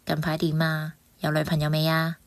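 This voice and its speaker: 女演員演繹聲線偏低嘅親切香港年輕媽媽. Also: female voice, sits low, monotone, moderate pace, no room sound, lightly acted. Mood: friendly, chatty. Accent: Hong Kong Cantonese. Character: friendly, chatty young mother catching up. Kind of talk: Cantonese radio drama dialogue.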